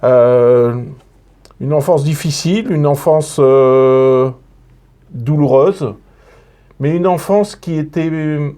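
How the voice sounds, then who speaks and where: A man from northern France, a guest on a radio interview, telling his story slowly with many restarts and repeated words. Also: fairly high pitch, dark tone. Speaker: guest, retired police officer.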